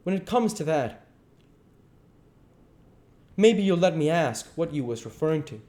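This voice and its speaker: A man's voice: on the high side, lively intonation, moderate pace, mellow, pitched above the performer's own voice, lightly acted. A man voicing a domineering, scornful swindler who scolds in folksy rural speech, in a dramatised audiobook. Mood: irritated.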